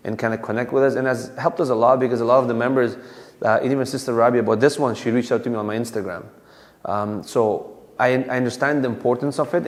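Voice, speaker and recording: A man in his thirties from Afghanistan, a police officer giving an informal community talk in a conversational way with many fillers and restarts. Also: medium pitch. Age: 30s. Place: community hall. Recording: long hall reverb.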